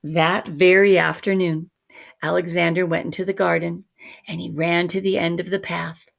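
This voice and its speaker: A woman teacher narrating a children's picture book expressively, measured and dramatic, often dropping to hushed tones. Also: lowish voice, flat delivery, gravelly.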